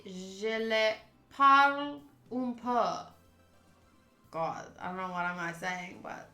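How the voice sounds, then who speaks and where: A chatty, expressive woman, a native Afrikaans speaker, streaming a game with casual talk and reading aloud. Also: on the high side. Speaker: streamer playing a visual novel. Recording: roomy sound with music underneath. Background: game music.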